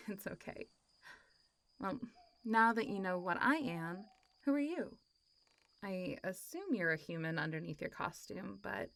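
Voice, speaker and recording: A woman acts out a friendly zombie monstergirl, centuries old yet girlishly playful. Her voice sits at a medium pitch and stays conversational. She teases and rambles lightly, as in a roleplay audio made for a single listener. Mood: matter-of-fact, teasing. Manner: chatty, teasing, frequent giggles and sighs, hesitant asides. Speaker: voice actor.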